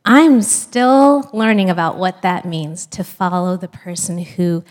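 Slow-speaking woman pastor sharing testimony. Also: fairly low pitch. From United States. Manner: chatty, warm storytelling with fillers and small laughs. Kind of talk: church talk, personal testimony.

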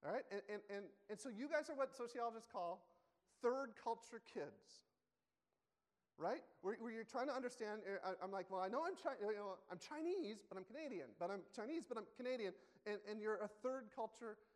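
Conversational pastor in a reverberant hall. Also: male voice, high-pitched, lively intonation, brisk. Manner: conversational, self-correcting, asks the congregation questions. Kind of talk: sermon. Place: church sanctuary.

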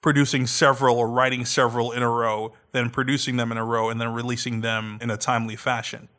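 A man's voice: mid-range voice, level tone, fairly bright tone. A man co-hosting a podcast and producing audio dramas, talking casually and stopping often to restart his sentences. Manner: halting, with many restarts and fillers.

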